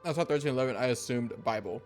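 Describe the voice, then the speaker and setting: A man's voice: medium pitch, very fast, a little mellow, faint music behind. A man live-streaming a game, reacting casually in a slangy, exclamatory way.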